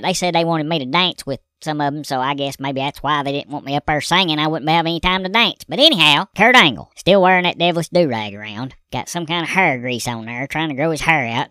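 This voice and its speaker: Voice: medium pitch, bright, forceful tone, no room sound, studio quality. A folksy Southern redneck husband. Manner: folksy drawl, rambling storytelling with gripes. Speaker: recurring comedy character. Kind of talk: comedic character monologue.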